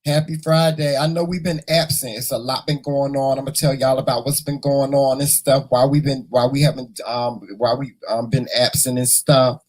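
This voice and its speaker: A hoarse man hosting a casual morning livestream, chatting and teasing his viewers in a folksy way. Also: medium pitch, little pitch movement, moderate pace, few pauses. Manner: folksy, teasing, talks to the chat; repeats words and restarts often. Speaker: morning show host.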